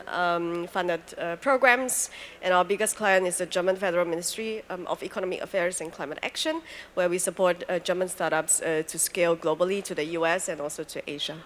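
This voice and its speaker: A woman speaking as a panelist on a conference stage, measured and full of fillers. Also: on the low side, a little hiss or hum. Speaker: panelist, program director.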